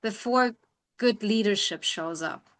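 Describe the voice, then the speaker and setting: A woman's voice: medium pitch, measured pace, fairly bright tone. A woman interviewing a guest, putting questions in a conversational style.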